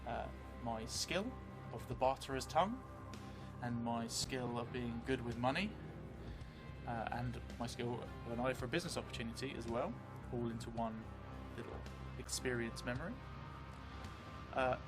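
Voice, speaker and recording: A middle-aged man narrating a solo tabletop role-playing game in a measured, reflective, hesitant way. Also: mid-range voice. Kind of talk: improvised first-person tabletop game narration. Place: small indoor room, seated at a desk. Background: background music.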